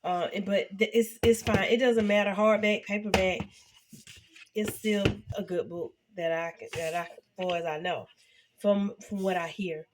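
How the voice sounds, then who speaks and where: A woman in her fifties, sounding congested and a little nasal, chatting casually to her viewers in a halting way with many fillers and restarts. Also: medium pitch, fairly even intonation, background noise. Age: 50s. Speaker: vlogger. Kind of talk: casual reflective talk to camera.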